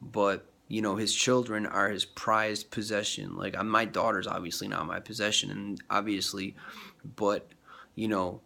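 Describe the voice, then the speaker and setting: A man's voice: lowish voice, little pitch movement, normal speed, creaky, pushed and bright. A man with a cold talking in a rambling vlog about faith, full of fillers and restarts, sniffly and congested. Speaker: vlogger. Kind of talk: rambling religious vlog talk.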